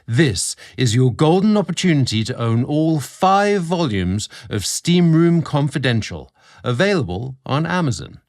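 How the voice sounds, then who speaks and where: A British man narrating a scripted story, theatrical and deliberate in his phrasing, drawing out words as a podcast host. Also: on the high side, big pitch swings, darkish tone, dry recording, studio quality.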